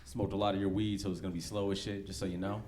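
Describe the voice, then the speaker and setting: A man's voice: fairly low pitch, little pitch movement, racing. A forty-year-old American man doing conversational, slangy, profane stand-up comedy in a laid-back way, live in a club. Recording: live club recording with background noise.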